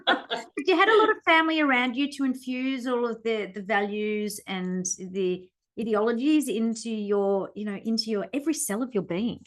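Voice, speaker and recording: A woman hosts a relaxed podcast interview. She is effusive and encouraging, drawing her guest out with long, enthusiastic questions. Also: on the high side, normal speed. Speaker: podcast host. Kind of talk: casual interview chat.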